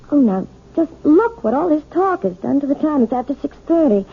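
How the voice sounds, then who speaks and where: An actress playing a timid, weary, anxious wife in a 1970s radio drama, speaking hesitantly and apologetically. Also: female voice, high-pitched, sing-song, normal speed, creaky, exaggerated voice. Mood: gently firm. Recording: muffled, tinny old radio broadcast.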